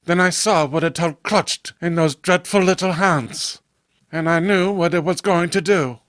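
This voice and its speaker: A man's voice: high-pitched, measured pace, fairly bright tone, dry recording, studio quality. A man voicing a frantic, fearful widow in a melodramatic horror comic reading. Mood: disbelieving, fearful. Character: frantic widow recounting a killer doll's attack.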